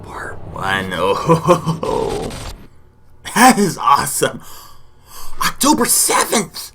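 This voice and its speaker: Excitable man hosting a reaction vlog. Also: fairly high pitch, very slow, vocal fry, slight background noise. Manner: excitable, exclaiming, repetitive. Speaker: YouTube reaction host. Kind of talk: enthusiastic reaction and discussion vlog.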